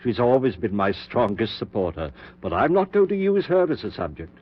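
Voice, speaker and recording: Obsessed scientist in dull old radio sound. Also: male voice, medium pitch, sing-song, moderate pace. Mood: insistent. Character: obsessed middle-aged research scientist, devoted husband, stubborn. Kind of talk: 1940s radio drama acting. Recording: dull 1940s radio recording.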